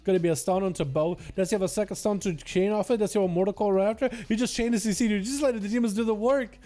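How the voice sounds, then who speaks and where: A young man with a faint Russian accent, excitedly casting a player-versus-player game match. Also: high-pitched, very fast, faint music behind. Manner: excitable, laughs often, says "dude" a lot. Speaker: gaming commentator.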